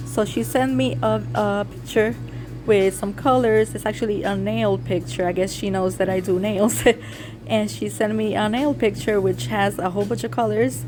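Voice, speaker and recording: A woman from Puerto Rico talks through her makeup tutorial, explaining each step as she applies it. Her voice is hoarse and raspy from a recent illness, and music plays clearly in the background. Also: medium pitch. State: hoarse, recovering from lost voice. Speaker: beauty content creator. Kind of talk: casual makeup tutorial vlog. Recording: music playing behind.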